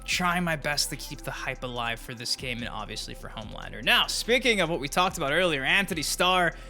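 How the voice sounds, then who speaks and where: Bright-voiced man hosting a gaming vlog. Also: on the high side, lively intonation, brisk, gravelly. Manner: colloquial, profane, addresses viewers directly. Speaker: host of a gaming news channel. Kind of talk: casual opinion commentary to viewers. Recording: music behind the voice. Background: music.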